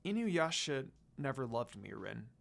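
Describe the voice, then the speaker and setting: A woman storyteller plays a gentle teenage girl from an anime fan story. She speaks softly and murmurs, with a sad, wounded temper and a lively, moving pitch. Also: a little slow, vocal fry, exaggerated voice. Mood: hurt, sad. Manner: soft, murmuring.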